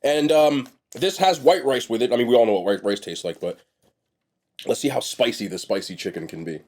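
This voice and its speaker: A man's voice: medium pitch, a little fast, darkish tone. A young man reviewing food on camera, with a congested, sniffly nasal voice, talking bluntly and chattily and trailing off into restarts. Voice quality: nasal from a blocked nose. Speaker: food vlogger. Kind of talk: casual mukbang food review.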